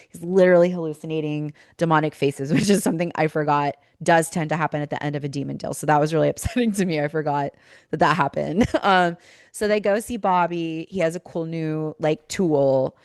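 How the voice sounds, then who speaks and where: A woman's voice: low voice, clear, resonant tone, no echo, studio quality. A woman hosting a podcast in a casual, chatty, informal way, saying "like" often, her voice a little worn from teaching. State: losing voice from teaching. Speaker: podcast host; school teacher. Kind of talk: casual chat and informal episode recap.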